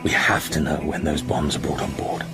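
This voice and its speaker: Low-voiced British secret agent. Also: male voice, level tone, normal speed, over music. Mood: grave, earnest. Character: serious, controlled male British secret agent. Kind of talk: radio drama acting.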